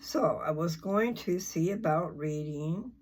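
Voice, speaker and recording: An older speaker chatting casually and informally in a folksy, meandering way, often halting to search for words. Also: mid-range voice, a little hiss or hum. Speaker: host of a scripture reading channel. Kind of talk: rambling casual chat before a reading.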